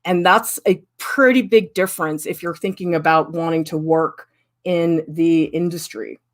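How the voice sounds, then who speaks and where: A middle-aged woman art professor giving a candid, lively livestream critique and answering viewers' questions. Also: on the low side, unhurried. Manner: candid, lively, emphatic, with frequent "I mean".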